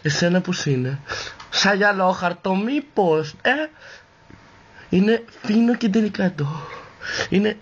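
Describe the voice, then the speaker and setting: A man's voice: sits high, long pauses, husky. A man voicing a sassy, vain, vulgar woman in an amateur comic parody dub, with campy, exclaiming delivery. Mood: mocking, sassy. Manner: campy, swooping, crude, exclaiming. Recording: muffled, noisy home recording.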